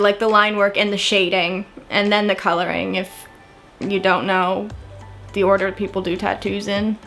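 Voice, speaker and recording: A woman's voice: medium pitch, vocal fry, a bit bright. An American woman in her twenties chatting casually to camera, rambling and often correcting herself. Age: 20s. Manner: chatty, rambling, frequent self-corrections and small laughs. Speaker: vlogger.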